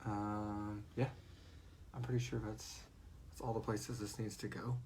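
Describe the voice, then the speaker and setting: A man's voice: lowish voice, little pitch movement, a little slow, a little mellow, slight background noise. A man hosting a casual livestream chat in a jokey, rambling way, sometimes muttering as he sets things up. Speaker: host and co-creator of a horror audio drama.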